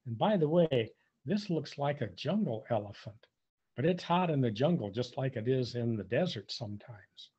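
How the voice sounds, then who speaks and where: Husky older man, very animated storybook reader. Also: mid-range voice, normal speed. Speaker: volunteer storybook reader for young children. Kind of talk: picture-book read-aloud for preschoolers. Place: indoors, on a video call. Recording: dull, muffled webcam audio.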